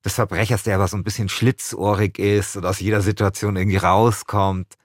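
A man's voice: lowish voice, little pitch movement, a little fast, dry recording, clean studio sound. A man speaking as a podcast co-host, moving between casual chat and scripted narration.

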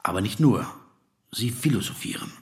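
An actor playing an earnest, observant journalist in a radio drama, speaking in a measured, analytical way. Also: male voice, fairly low pitch, expressive. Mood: insistent. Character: male journalist, probing, earnest, observant interviewer. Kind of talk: radio drama dialogue and narration.

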